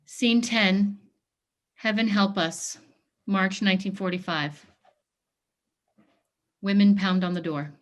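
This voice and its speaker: A woman's voice: medium pitch, fairly even intonation, slow, pauses often. A woman reading stage directions as scripted narration for a play.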